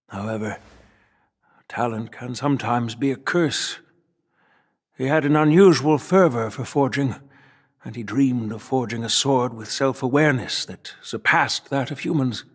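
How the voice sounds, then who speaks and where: Measured, dignified old grandfather. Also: mid-range voice, husky. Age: older. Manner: measured, courteous, reflective storytelling. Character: dignified old grandfather, wise master swordsmith and general. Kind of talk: dramatic video game voice acting.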